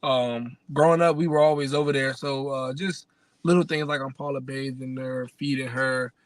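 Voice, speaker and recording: A man's voice: mid-range voice, fairly even intonation, normal speed, no room sound. A man in his early twenties with an American accent, chatting casually as a panel guest. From Maryland, United States. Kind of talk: casual chat.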